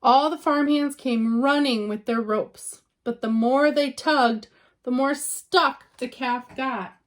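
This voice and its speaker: Lady reading a kids picture book out loud, highish voice, teacher style, really leans on the dramatic words. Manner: animated storytelling with dramatic emphasis and drawn-out words. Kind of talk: expressive children's storybook read-aloud.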